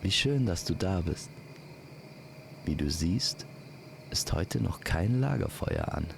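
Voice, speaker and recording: A man telling a scripted fantasy story as an immersive campfire narrator, speaking gently and poetically to the listener. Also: sits low, wildly swooping pitch, gravelly, noisy background. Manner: slow, gentle, poetic delivery. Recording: constant night insect and nature ambience. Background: crickets and forest night sounds.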